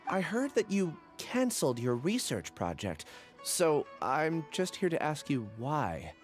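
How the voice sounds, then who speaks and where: A man's voice: fairly high pitch, huge pitch swings, unhurried, faint music behind. A man voicing a polite, apologetic young part-time academy worker in a video game.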